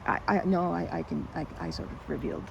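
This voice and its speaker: A woman's voice: deep voice, very animated, fast, creaky, dull audio, background noise, full character voice. A woman playing a timid, socially anxious young woman in an ASMR roleplay, stammering and rambling nervously as she corrects herself. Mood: awkward, apologetic. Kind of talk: ASMR roleplay, intimate one-sided romantic dialogue.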